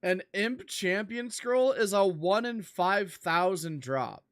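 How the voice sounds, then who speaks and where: Slow-talking hoarse male streamer. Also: sits high, no echo, studio quality. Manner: excitable, swears, laughs in disbelief. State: losing his voice, hoarse. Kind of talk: casual live-stream chat while gaming.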